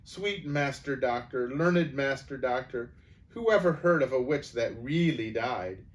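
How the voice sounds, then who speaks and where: A man voicing a shrill, whining old hag who fawns and wheedles in a storybook reading. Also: unhurried, close to an everyday voice. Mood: wheedling.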